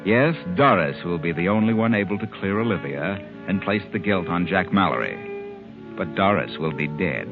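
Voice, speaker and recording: Sinister, low-voiced 1940s radio storyteller. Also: male voice, lively intonation, normal speed, phone-quality sound. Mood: ominous, gloating. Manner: ominous, knowing, sardonic. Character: unseen sinister all-knowing storyteller who whispers plots to people. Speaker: narrator. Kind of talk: 1940s radio mystery narration. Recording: old 1940s radio recording with music.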